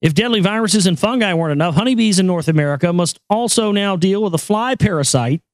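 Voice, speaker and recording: A man with a high voice hosting a casual talk show, joking crudely and rambling over news items. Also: expressive, quick pace, dry recording. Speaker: show host.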